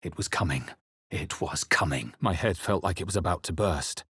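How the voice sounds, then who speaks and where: A man playing a wary, resolute fantasy adventurer in video game voice lines, with a measured, theatrical delivery. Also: deep voice, fairly bright tone, slightly rough, no echo, studio quality, exaggerated voice. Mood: brooding, grave narration. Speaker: player character voice. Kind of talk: scripted video game voice lines, dramatic narration.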